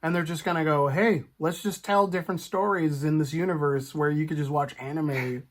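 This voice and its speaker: A man hosting a casual, animated chat about film and TV, acting out imagined reactions as he goes. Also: a little high, fairly quick. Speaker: podcast host.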